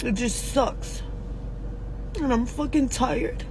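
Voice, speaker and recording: A woman in her forties speaking in a frank, halting personal video, with many fillers and pauses. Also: a little high, background noise. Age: 40s. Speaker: social media poster.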